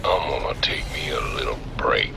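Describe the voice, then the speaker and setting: Very deep gravelly Southern convict marine. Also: male voice, moderate pace, whispered. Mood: lazy swagger. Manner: drawling, swaggering, folksy. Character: big cocky gruff convict marine, gleefully destructive. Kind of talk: video game character acting. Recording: loud game music behind.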